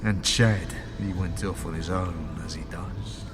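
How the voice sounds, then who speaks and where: A man voicing an amiable, mangled undead scavenger with rough rustic speech in a fantasy audio drama. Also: deep voice, fairly even intonation, measured pace. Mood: rueful. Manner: colloquial, rustic grammar and slang. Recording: long cavernous reverb, music behind.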